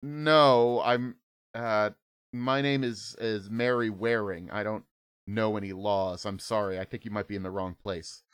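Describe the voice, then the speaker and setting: A man's voice: fairly low pitch, fairly even intonation, a little slow, a bit bright, smooth. A man voicing a mousy, nervous woman in her late thirties who stammers and apologizes. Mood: wary.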